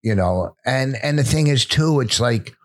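A man's voice: mid-range voice, animated, normal speed, no room sound. An older man who is a stand-up comedian, telling stories in casual podcast chat, rambling with many restarts.

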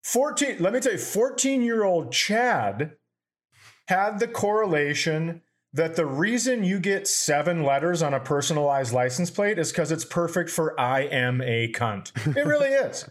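A man hosting a podcast, telling stories in a casual, profane, animated way. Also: a little high, measured pace. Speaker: podcast host.

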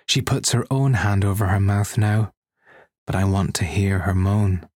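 A man narrating an erotic audiobook in an intimate, husky voice. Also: low-pitched, steady tone, measured pace, darkish tone, no echo, clean studio sound. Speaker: story reader.

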